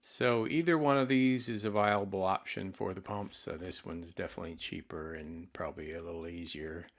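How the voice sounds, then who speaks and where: Male presenter, deep register, for an informal retail product demo; plain, explanatory, slightly halting delivery. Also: fairly even intonation.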